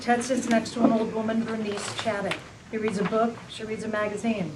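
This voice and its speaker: Lady reading stage directions, kinda high, far off mic. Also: level tone, fairly quick, over a noisy crowd. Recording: distant voice with background noise.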